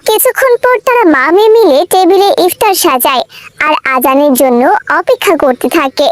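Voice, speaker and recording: A woman's voice: very high voice, animated, normal speed, dry recording. A woman narrator reading a scripted children's moral cartoon story.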